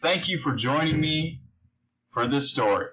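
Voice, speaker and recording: A man's voice: a little high, a little slow, sharp tone, breathy edge, rough recording. A man reading a children's picture book aloud, speaking simply and putting questions to his young listeners. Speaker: story reader.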